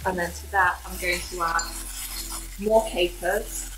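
A woman's voice: fairly low pitch, steady tone, a little slow, noisy background. A woman in her thirties giving a casual, instructional cooking demonstration as a home cooking host in a kitchen. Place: modern home kitchen, indoors. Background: background music, frying sizzle.

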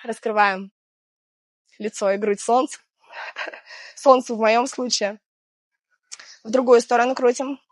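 A woman in her thirties, hoarse from a cold, guiding an exercise routine outdoors by the sea in a chatty, gentle way with small laughs. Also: fairly high pitch, fairly even intonation, a little slow, long pauses, no echo. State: hoarse from illness. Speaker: fitness instructor. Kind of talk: guided exercise instruction. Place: outdoors on a seaside promenade.

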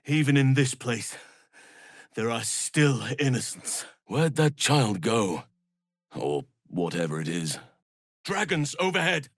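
An actor playing a calm, earnest and wise male druid healer, protective in temper, for a video game. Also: medium pitch, slow pace, long pauses, dry recording, studio quality, full character voice. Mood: resolute. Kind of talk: video game character voice lines.

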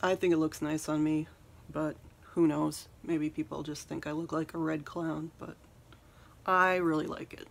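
Soft-spoken woman with gravelly, flat voice. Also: deep voice, normal speed. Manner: rambling, says "um" a lot. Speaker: beauty vlogger. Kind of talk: casual get-ready-with-me chat. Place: small bedroom, indoors. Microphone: phone camera at arm's length.

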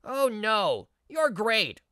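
A man's voice: sits high, sing-song, extremely slow, background noise, heavily acted. A man voicing an arrogant young male rookie office worker in a manga story dub, indignant, whiny and complaining. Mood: flustered.

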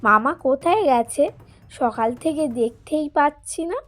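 A woman's voice: high voice, expressive, normal speed, a bit bright. A woman voicing a curious, easily startled young woman in a cartoon ghost story, with a questioning manner. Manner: wondering, questioning.

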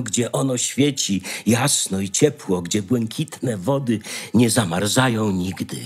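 A man narrating a fairy tale in a theatrical, expressive storytelling manner. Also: mid-range voice, husky.

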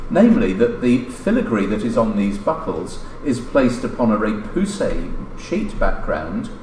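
A man reading a formal academic conference paper aloud in a measured, scholarly way, pausing mid-phrase. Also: lowish voice, a little mellow. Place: indoor lecture hall, at a lectern. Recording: echoey lecture room, distant mic, background noise.

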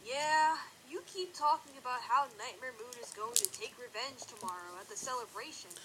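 Woman voicing a cheeky high-pitched baby dragon. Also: moderate pace, a bit bright, husky, poor audio. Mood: skeptical. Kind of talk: cartoon-style audio drama acting.

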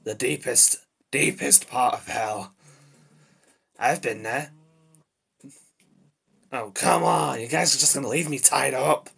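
A man performs a short-tempered male demon devil in a homemade cartoon-style monologue. The voice is rough and raspy with a hard, forceful edge. He blurts and mutters, irritable and easily flustered. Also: medium pitch, takes it slowly, pauses often, heavily acted. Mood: pleading, sulky. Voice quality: raspy, gravelly. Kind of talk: amateur character voice acting, improvised monologue.

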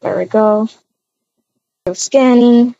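High, bright-toned-down woman, unhurried roleplay chatter. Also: gravelly, muffled recording. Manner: chatty, narrates own actions aloud. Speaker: roleplay video creator. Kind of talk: improvised voiced roleplay in a video game.

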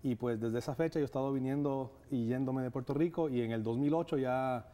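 Ecuadorian man in his thirties, mellow. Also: medium pitch, fairly even intonation, fairly quick. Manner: earnest, many "eh" fillers. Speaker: guest, nonprofit director. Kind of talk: studio interview. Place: TV studio set. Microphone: lapel mic.

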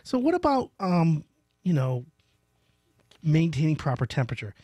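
Middle-aged male radio host, playful and emphatic, close-miked in a small studio; lively, mid-high voice, dry sound. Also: normal speed, dry recording. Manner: animated, emphatic, playful storytelling. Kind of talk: radio call-in interview. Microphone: large studio mic up close.

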